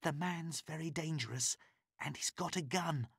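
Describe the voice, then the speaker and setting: A man reading a storybook, voicing a frightened captive woman who pleads in a high, anxious voice. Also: normal speed, gravelly, fairly bright tone, husky, no echo, studio quality, exaggerated voice. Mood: anxious pleading.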